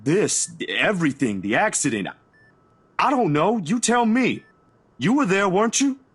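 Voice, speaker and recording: A simple, worried young man from a video game. His husky voice swings widely in pitch. He speaks informally and plainly, with a questioning manner. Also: big pitch swings, unhurried, exaggerated voice. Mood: uneasy, probing.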